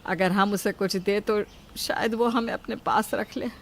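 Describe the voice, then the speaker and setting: A woman's voice: mid-range voice, steady tone, measured pace, lightly acted. A woman voicing a worried, self-sacrificing elderly mother in an emotional, pleading way for a moral story. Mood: worried, weary.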